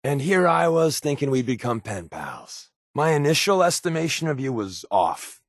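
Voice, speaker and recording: Wry spy sidekick, lively swooping delivery. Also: male voice, a little high, moderate pace, no room sound, clean studio sound. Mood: dry, sardonic. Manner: sardonic, casual, wisecracking. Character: wry, joking male spy companion with dry swagger. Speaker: video game voice actor. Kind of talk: scripted game companion dialogue.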